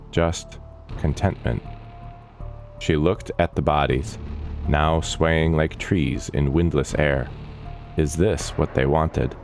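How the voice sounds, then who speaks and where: A man narrating a scripted dark fantasy story in a measured, solemn and dramatic manner. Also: a bass voice. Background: background music.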